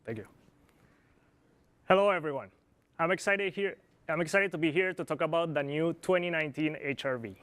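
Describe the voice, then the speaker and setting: A man with a Colombian Spanish accent delivers a scripted product presentation in a measured, informative way. His voice sits high for a man and sounds smooth and resonant, and he trips over a word now and then. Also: fairly bright tone. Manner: measured, informative, occasional slips. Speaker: product planner.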